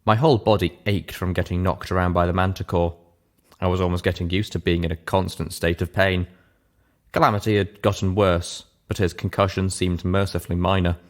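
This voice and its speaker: A man's voice: low voice, little pitch movement, a little fast. A man reading a scripted story aloud as its narrator. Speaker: audiobook reader, narrator.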